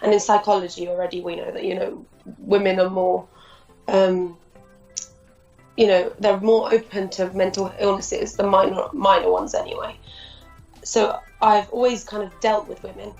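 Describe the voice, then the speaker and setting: Flat, gravelly woman, recorded from afar. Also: mid-range voice, moderate pace. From Turkey. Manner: relaxed, chatty, says "you know" a lot. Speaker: committee member. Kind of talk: interview answers. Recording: distant, dull, roomy, faint music.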